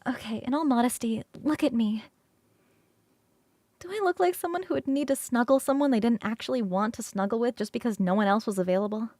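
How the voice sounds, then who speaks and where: A high, girlish succubus roommate, played by a woman in an ASMR roleplay, recorded close and dry. She is affectionate and playful, coaxing the listener with expressive, halting delivery. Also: no room sound, natural voice. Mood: earnest, flustered. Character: affectionate, playful succubus roommate, young-sounding, eager to cuddle. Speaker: streamer performing scripted roleplay.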